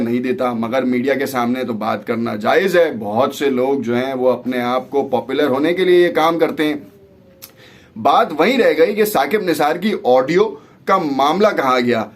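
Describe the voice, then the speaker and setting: A man with a Pakistani accent hosting a political vlog, giving conversational news commentary sprinkled with English words. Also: a little high.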